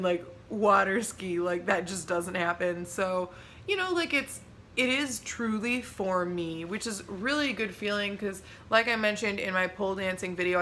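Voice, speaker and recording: Young woman vlogger, bright voice, rambling casual chat, faint background hiss. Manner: chatty, rambling, lots of "like" and "um"; laughs easily, pitch jumps when excited.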